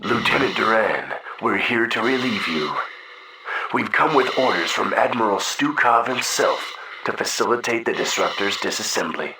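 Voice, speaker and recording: An actor playing a stiff soldier delivering orders in a video game, formal and military. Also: male voice, fairly low pitch, expressive, normal speed, recorded from a distance. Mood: stiff, official. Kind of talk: video game cutscene acting. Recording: echoing, noisy game audio.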